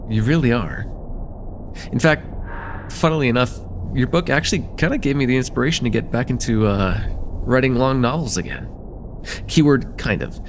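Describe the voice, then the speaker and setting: A man's voice: medium pitch, normal speed, long pauses, slight background noise, lightly acted. A man playing a friendly, teasing college-age guy in a casual, hesitant slice-of-life ASMR roleplay with a close friend. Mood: warm, sincere.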